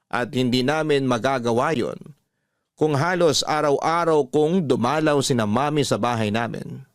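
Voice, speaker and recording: A man reading a listener's love-story letter on a radio show, in calm, measured storytelling. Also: mid-range voice, dry recording, clean studio sound. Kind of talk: scripted narration of a letter.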